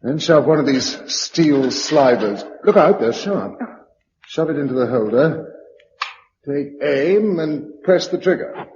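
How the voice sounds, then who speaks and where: An actor playing a resolute, practical British survivor of a catastrophe in a radio drama, with a brisk, unsentimental, commanding manner. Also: male voice, a little high, lively intonation, a little mellow. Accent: British English. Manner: brisk, matter-of-fact, commanding. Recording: dull lo-fi radio recording, faint noise.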